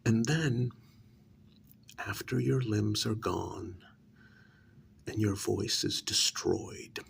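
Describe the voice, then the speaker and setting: Vengeful male vampire , performed by a man, ASMR role-play. Lowish, breathy, quietly threatening, very unhurried delivery, faint noise behind. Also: heavily acted. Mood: sadistic menace. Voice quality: breathy half-whisper with gravelly fry. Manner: slow, deliberate, quietly threatening with drawn-out pauses. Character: cold, vengeful male vampire lord, menacing and cruel.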